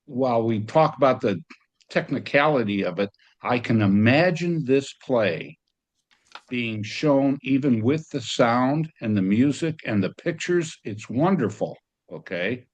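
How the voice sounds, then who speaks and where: Guy on a video call giving feedback, medium pitch, gushing and emphatic, casual. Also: animated, measured pace. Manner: effusive, emphatic. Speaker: audience member giving feedback.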